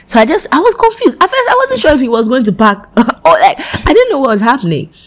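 A young woman with a Ghanaian English accent chats casually as a podcast co-host. Her pitch swings widely as she tells a story, and she leans on "like" between her thoughts. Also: quick pace. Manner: chatty storyteller, many "like" fillers, lively swings in pitch. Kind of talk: casual chat.

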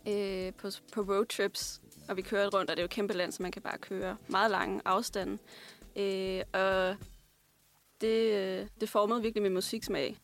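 A woman's voice: mid-range voice, little pitch movement, soft background music. A woman from Denmark answering interview questions as a guest musician, casually and reflectively, feeling her way through her sentences. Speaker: guest singer, songwriter and pianist.

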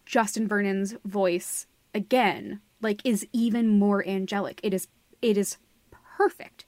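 A woman's voice: medium pitch, no room sound. A woman co-hosting a podcast, discussing theatre in a casual, opinionated way, gushing and repeating phrases for emphasis. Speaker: podcast co-host.

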